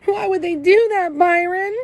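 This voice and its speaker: Sobbing little boy, high and shaky, ashamed and pleading, read by a woman; storybook audiobook. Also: lively intonation, moderate pace. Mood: tearful pleading. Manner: choked, pleading, trembling. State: crying. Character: tearful ten-year-old boy, sensitive and ashamed.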